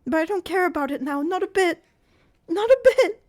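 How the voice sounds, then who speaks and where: A woman's voice: lively intonation, normal speed, a little mellow. A woman doing a frightened pleading girl. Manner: high, pleading, breathless. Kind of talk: audiobook character voice.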